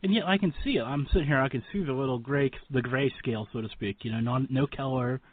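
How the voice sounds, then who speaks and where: A man's voice: medium pitch, fast talker. Casual male radio host, muffled sound. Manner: informal, rambling, joking. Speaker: radio talk show host. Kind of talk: casual chatty interviewing.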